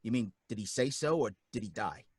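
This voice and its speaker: An actor playing a jittery working-class cab driver in an old-time radio drama, pleading and excitable with folksy slang. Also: male voice, lowish voice, a little fast, breathy edge, no echo, clean studio sound.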